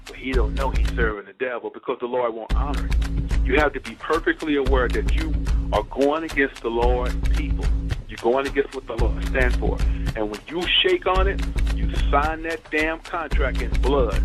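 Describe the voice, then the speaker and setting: Casting: emphatic male talker, mid-pitched, informal exposé delivery that hammers repeated phrases, clear music underneath.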